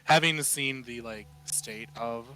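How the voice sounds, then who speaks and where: A man's voice: mid-range voice, slow pace, sharp tone, a little hiss or hum. A man describing his character's actions casually and a little hesitantly as a player in a tabletop roleplaying game.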